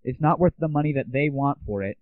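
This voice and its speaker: A man's voice: mid-range voice, expressive, fast, no room sound, phone-quality sound. A man hosting a podcast, giving a blunt, sarcastic review and swearing freely. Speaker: podcast host. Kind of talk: ranting gadget review.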